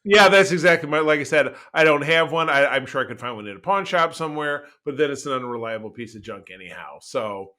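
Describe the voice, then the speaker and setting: A middle-aged man chats as a podcast co-host. His voice sits a little high and has a bright, forceful edge. He talks in a casual, rambling and emphatic way. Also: animated, fairly quick, few pauses. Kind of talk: casual conversational podcast chat.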